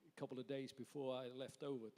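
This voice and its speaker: A man, a former footballer guest, speaking softly and with a little hesitation at a live stage interview. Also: medium pitch, flat delivery, fast talker. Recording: echoey hall.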